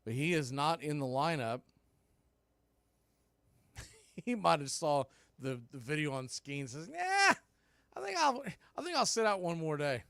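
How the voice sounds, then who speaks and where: Male play-by-play announcer, fairly high voice, relaxed with wry asides, close dry mic. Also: a little slow, creaky, long pauses, smooth, no echo. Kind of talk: baseball play-by-play commentary.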